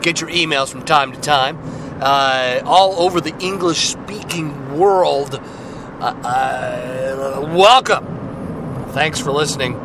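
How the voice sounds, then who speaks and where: Hoarse, raspy man rambling on a podcast. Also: on the high side, slow pace, background noise. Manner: joking, loose, self-deprecating. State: hoarse, getting over a cold. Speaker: podcast host. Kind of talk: casual rambling monologue.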